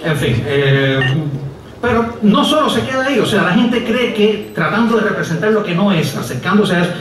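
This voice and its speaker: A man's voice: high-pitched, lively intonation, moderate pace, noisy background. A middle-aged Cuban man doing a wry, digressive stand-up monologue, full of hesitations, on an outdoor stage with a stand mic. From Cuba. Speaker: comedian and writer. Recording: echoey live outdoor sound from far off. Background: audience laughing.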